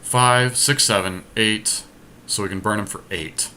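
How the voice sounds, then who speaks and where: A man's voice: on the low side, measured pace, bright, forceful tone. A man giving casual gameplay commentary to a teammate, thinking aloud step by step through each move. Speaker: podcast host playing an online card game. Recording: clear background noise, amateur screen capture.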